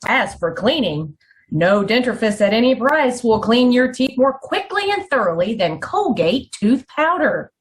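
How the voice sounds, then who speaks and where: A woman reading a scripted old-time radio commercial with deliberate, emphatic phrasing. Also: a little high, sing-song. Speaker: commercial announcer.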